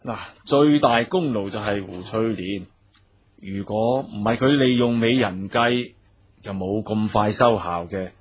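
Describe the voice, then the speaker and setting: A man with a Cantonese accent playing an authoritative gang boss and club owner in a radio drama, giving orders in a deliberate, lecturing way. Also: lowish voice, slightly rough, highs cut off. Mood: measured authority. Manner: deliberate, instructing, lecturing subordinates.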